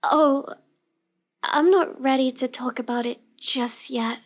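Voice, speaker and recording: A woman playing a shy, sweet young villainess who feigns helplessness in a halting, apologetic way for an intimate roleplay audio. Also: high-pitched, heavily acted. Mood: sweet, guarded.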